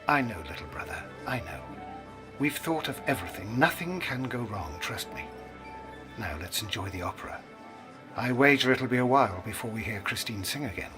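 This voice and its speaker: An actor playing a calm, confident, aristocratic older brother, soothing and reassuring, in a radio drama. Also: male voice, mid-range voice, moderate pace, close to an everyday voice. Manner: soothing, assured. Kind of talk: full-cast radio drama acting. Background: music.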